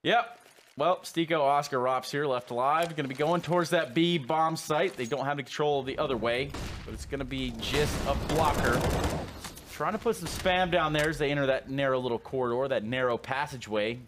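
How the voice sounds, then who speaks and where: Guy calling the esports action, dry teasing jokes, normal pitch, bit of game noise behind. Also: a little fast. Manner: dry, teasing humor between calls. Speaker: esports play-by-play caster. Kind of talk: play-by-play commentary.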